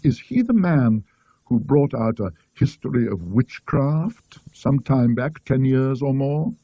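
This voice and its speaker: A man's voice: medium pitch, animated, takes it slowly, mellow, no echo, muffled recording. A British man voicing an inquisitive, precise secretary of a learned society in a storybook. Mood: inquiring. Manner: precise, recollecting.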